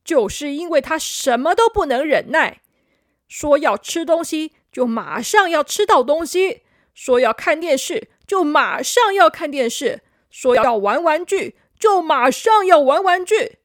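A woman voicing a patient old male wizard in a children's story, gentle and explaining. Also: high-pitched, expressive, measured pace, dry recording, studio quality. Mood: kindly.

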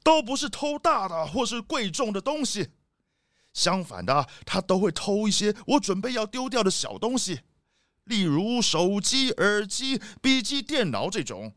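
A man's voice: high-pitched, big pitch swings, a little slow, fairly bright tone, no echo, studio quality, exaggerated voice. A man playing a rich, boastful, haughty leopard boss in a children's audio drama. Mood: puzzled, grumbling.

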